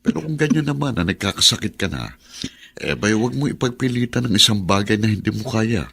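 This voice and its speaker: A man playing a caring, frank older neighbour with a gravelly, husky voice, advising with concern in a radio drama. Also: on the low side, animated, fairly quick, a bit bright, exaggerated voice.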